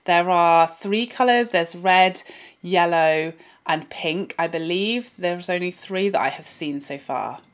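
A woman in her thirties, slightly hoarse from a cold, chatting directly to viewers as she reviews a product at home. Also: fairly low pitch, slow pace. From England. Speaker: beauty vlogger. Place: bright indoor room at home.

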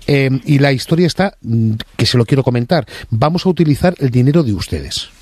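Man putting on a bank director, smooth-talking, polite, condescending, brazen, prank call, dry close sound. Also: medium pitch, expressive, fairly quick, darkish tone, no room sound, lightly acted. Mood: brazen, unruffled. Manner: polite, matter-of-fact, condescending.

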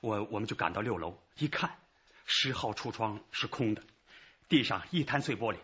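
A man's voice: fairly low pitch, lively intonation, normal speed, fairly bright tone, breathy edge, highs cut off, full character voice. A man playing a deferential, hesitant store manager giving a witness account in a radio crime drama. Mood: nervous recounting.

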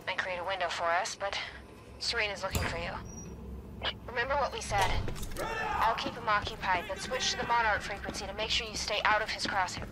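An actress playing a capable, decisive undercover operative in a cinematic video game. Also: female voice, mid-range voice, fairly quick, natural voice. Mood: brisk, conspiratorial. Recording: roomy, distant, under loud music.

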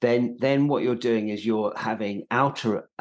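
A middle-aged British man explaining like a teacher in conversation, halting and repeating words as he goes. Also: mid-range voice. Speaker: acting teacher, podcast host. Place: indoor room on a video call.

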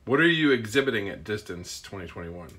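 A man's voice: fairly low pitch, sing-song, a little fast, pushed and bright, slight background noise. A man off camera asking short, plain interview questions. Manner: brief and plain.

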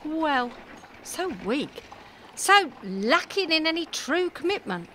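A woman's voice: sits high, big pitch swings, slow pace, sharp tone, a little hiss or hum, full character voice. A woman acting a cheeky, argumentative city woman roused from sleep in a comic audio play, colloquial, pedantic and needling. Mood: irritated, needling.

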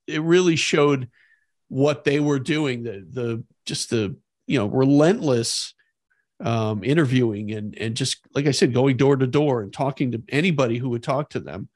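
A man co-hosting a podcast, discussing history conversationally in a halting, repetitive way. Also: medium pitch, a little mellow, dry recording, studio quality. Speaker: podcast co-host.

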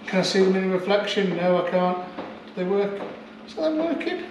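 A man's voice: high voice, lively intonation, normal speed, echoey room, background noise. A man chatting casually and joking as he checks over an old car in his garage workshop. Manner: chatty, jokey, thinking aloud. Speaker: car restoration vlogger.

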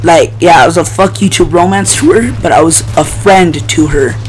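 A man's voice: on the high side, normal speed, low-quality recording. A young man telling a rambling, profane story casually while playing a shooter game. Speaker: video host. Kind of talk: casual storytelling over gameplay. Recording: loud game music and gunfire behind. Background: shooter game music, gunshots, vehicles.

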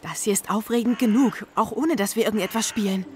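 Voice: mid-range voice, fairly quick, natural voice. A young performer playing a responsible, fair-minded boy, the eldest brother, in an audio drama. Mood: calm.

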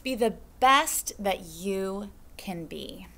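A woman in her thirties, a teacher, reading a picture book aloud to young children in a classroom with a slow, sing-song storytime delivery. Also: mid-range voice, lively intonation, creaky, a bit bright, slight background noise. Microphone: webcam at desk.

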